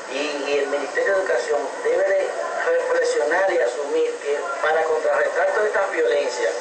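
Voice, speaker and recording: A middle-aged man with a Dominican Spanish accent speaks earnestly in a local TV interview, stumbling and repeating words as he builds his points. His slightly high voice comes from far off in an echoey room. Heavy noise hisses under the whole recording. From Dominican Republic. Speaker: interview guest, civic educator. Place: indoor TV set at a table. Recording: echoey, distant, noisy local TV audio.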